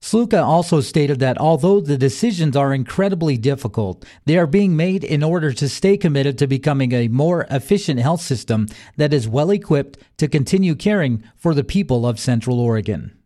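A man's voice: a little high, animated, normal speed, hardly any pauses, warm tone. A man reading a scripted local news and sports bulletin as the newsreader. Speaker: local radio news reader.

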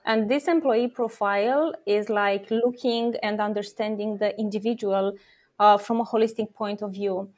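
A woman's voice: medium pitch, steady tone, normal speed, darkish tone. Woman explaining earnestly with fillers. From Romania. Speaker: startup co-founder and product officer guest. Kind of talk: interview answers.

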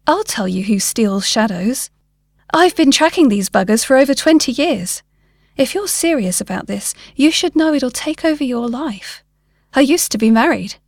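Paranoid conspiracy theorist, male, late fifties, played by a female storyteller. Gruff, hectoring, urgent; pitched up in emphasis, very dry sound. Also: expressive, moderate pace, no echo. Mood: intense, urgent. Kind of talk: short story character dialogue.

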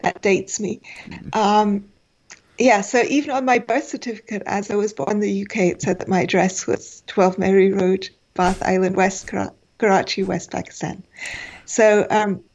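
A woman's voice: mid-range voice, highs cut off. A woman in her forties, a guest in an interview, speaking with many fillers and restarts. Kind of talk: conversational interview.

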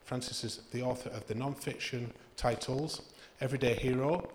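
A man's voice: medium pitch, steady tone, normal speed, slight background noise. A man hosting a live literary event, introducing an author in a formal, announcing manner. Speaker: event host. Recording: roomy live venue sound.

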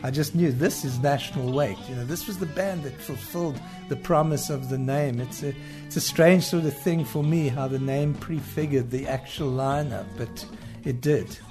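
South African male musician, interview recollection, medium pitch, soft music bed. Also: normal speed.

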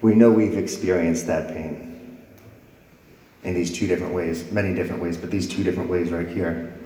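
A man's voice: low-pitched, fairly even intonation, moderate pace, warm tone. Man preaching in an echoey hall. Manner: dramatic reading of scripture, rising and dropping his voice. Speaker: pastor. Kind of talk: sermon. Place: church sanctuary before a congregation. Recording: echoey hall with long reverb.

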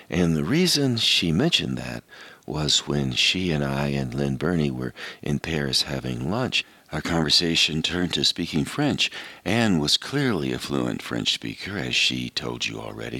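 Deep-voiced middle-aged male host, lively intonation, dry studio sound, reflective and unhurried. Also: creaky, breathy edge, no echo. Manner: warm, reflective, unhurried storytelling. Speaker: radio show host. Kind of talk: interview wrap-up and reflective monologue.

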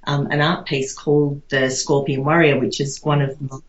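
A woman's voice: low-pitched, level tone, moderate pace. An Australian woman giving casual, reflective interview answers in a rambling, conversational way, with lots of "you know". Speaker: interview guest. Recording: echoey room, slightly dull sound.

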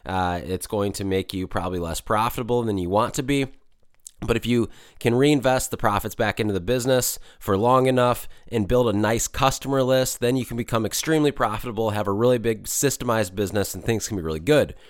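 Hoarse guy hosting his own podcast, lowish voice, lots of ums and uhs, super dry sound. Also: no echo. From Michigan. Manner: casual and rambling, many fillers and restarts. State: slightly hoarse from a cold. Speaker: podcast host. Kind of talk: solo podcast talk.

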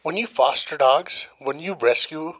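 A man's voice: a little high, unhurried, a bit bright, husky, rough recording. A man co-hosting a podcast, trading profane, slangy jokes in casual banter. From Colorado. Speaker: podcast co-host.

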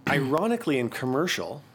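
A man from Quebec hosting a casual podcast chat, relaxed and easygoing, laughing often. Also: mid-range voice, a little fast, breathy edge. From Quebec, Canada. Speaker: podcast host.

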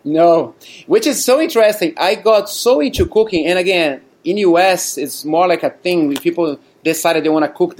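Chatty Brazilian man, slightly high. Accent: Brazilian Portuguese. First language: Portuguese. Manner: chatty, laughs often, many fillers. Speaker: guest, cafe owner. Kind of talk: casual interview storytelling.

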